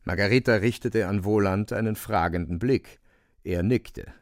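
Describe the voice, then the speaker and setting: A man narrating a radio drama from a script, in an expressive storytelling style. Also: fairly low pitch, moderate pace, no echo, clean studio sound.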